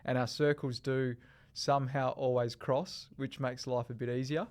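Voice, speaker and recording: A man's voice: medium pitch, little pitch movement, normal speed, darkish tone, smooth. A man hosting a podcast interview, friendly and prone to repeating words as he frames long questions. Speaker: podcast host.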